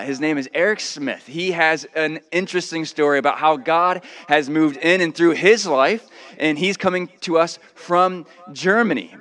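A man's voice: on the high side, fairly bright tone. A man hosting at a church, giving an informal introduction for a guest speaker.

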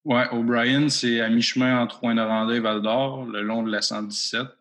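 A man's voice: mid-range voice, level tone, moderate pace, fairly bright tone. A man with a Québécois accent answering interview questions about his company, hesitant and full of fillers, recorded from home. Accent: Québécois French. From Abitibi-Témiscamingue, Quebec. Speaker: mining company executive guest.

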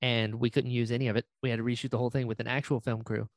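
A man's voice: medium pitch, flat delivery, very fast, dry recording, studio quality. A man hosting a casual interview podcast, speaking enthusiastically and readily affirming his guest. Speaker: podcast host.

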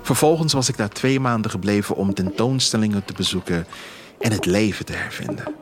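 A man narrating a scripted first-person story in a measured, literary way. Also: on the low side. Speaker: author reading own story as narrator. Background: music.

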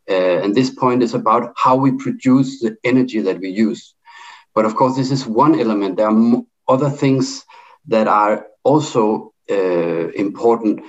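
Halting Danish-accented man, muffled audio. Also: fairly low pitch. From Denmark. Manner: halting, with many fillers and restarts. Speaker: debate speaker. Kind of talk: speaking in an online debate.

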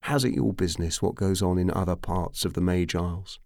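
A man's voice: low-pitched, fairly even intonation, fairly quick, gravelly, darkish tone, dry recording, studio quality. A man voicing a tipsy, roguish mercenary commander in an audiobook, drawling and rambling with boastful charm. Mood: wary. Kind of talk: audiobook character acting.

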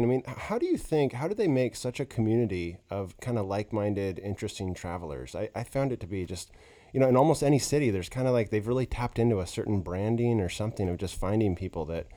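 A man's voice: mid-range voice, expressive, fast talker, warm tone. A man hosting a podcast interview, asking loose, rambling questions with fillers like "kinda" and "like". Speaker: podcast host. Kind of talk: conversational interview.

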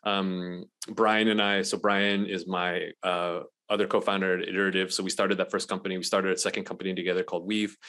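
Guy from malaysia on a podcast, really deep voice, rambly, lots of ums. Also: a little fast, hardly any pauses. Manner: relaxed, rambling with many fillers. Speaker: podcast guest, accelerator co-founder. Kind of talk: casual interview talk.

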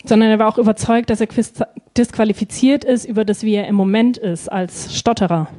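A woman's voice: mid-range voice, fairly even intonation, fairly quick, warm tone. A woman interpreting a sermon live, phrase by phrase, hesitating and feeling for words with frequent ums.

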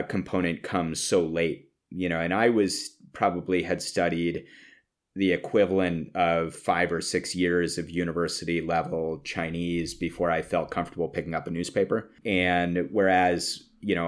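A man's voice: deep voice, little pitch movement, moderate pace. A man hosting a conversational interview, talking reflectively and explaining things through anecdotes. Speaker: podcast host.

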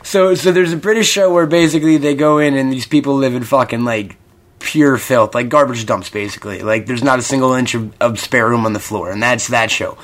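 A man in his mid-twenties talks loosely and fast on his feet in a casual podcast chat. He rambles, swears freely and jokes, at a middle pitch. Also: fairly bright tone. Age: 20s. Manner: profane, rambling, jokey.

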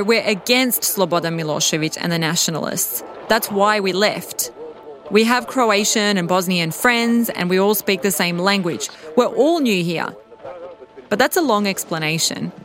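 30s woman from serbia, reflective wry narration, medium pitch, music bed under it. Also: fairly bright tone. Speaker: storyteller. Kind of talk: scripted personal narration.

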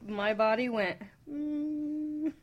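A woman's voice: high-pitched, extremely slow, dull audio. A woman on a radio panel, chatting casually and reacting to the others. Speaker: talk show panelist.

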